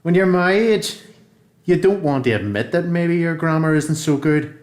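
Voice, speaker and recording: A man's voice: fairly high pitch, normal speed, reverberant, lightly acted. A man with a Northern Irish accent playing an earnest, reflective prisoner in a radio play, speaking plainly as if in a letter. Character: earnest, reflective male prisoner who found education.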